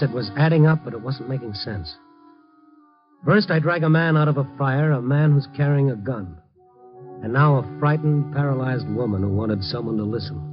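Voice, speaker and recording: An American actor playing a tough but kindly middle-aged reporter in 1950s radio noir, moving between terse narration and hesitant, gentle soothing. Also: male voice, medium pitch, lightly acted. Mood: brooding. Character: tough but kindly male night-beat reporter, troubled. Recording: muffled old radio recording.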